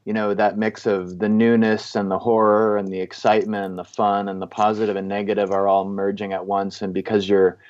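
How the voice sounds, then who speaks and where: A man's voice: lowish voice, level tone, brisk, few pauses, darkish tone, muffled recording. A man hosting a podcast, asking long, rambling questions in casual talk. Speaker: podcast host.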